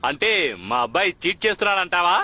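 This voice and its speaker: An eccentric cartoon father, competitive and full of mystical coaching. His high voice races along with grand, dramatic earnestness over loud music. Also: expressive, fast talker, dry recording, exaggerated voice. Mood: offended. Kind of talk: dubbed cartoon acting.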